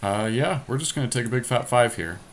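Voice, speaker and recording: A man's voice: mid-range voice, brisk. A man casually narrating a card game as he plays, thinking aloud and weighing options step by step. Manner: deliberating, talks through options step by step. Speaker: podcast co-host playing and narrating an online card game. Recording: noisy amateur capture with background noise.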